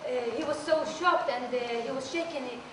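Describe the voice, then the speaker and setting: A high-voiced woman with a Russian accent tells her story haltingly, pausing and repeating words as she searches for them. She sounds distant from the mic in a very echoey studio with a faint hum behind. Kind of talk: telling a personal story in a workshop performance. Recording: echoey studio room from far off.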